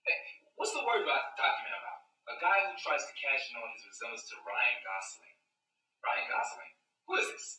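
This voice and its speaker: A man's voice: mid-range voice, wildly swooping pitch, a little fast, sharp tone, exaggerated voice. A man reading an audition scene as a curious man at a family dinner, asking questions in a quizzical way, recorded on a roomy self-tape from a distance.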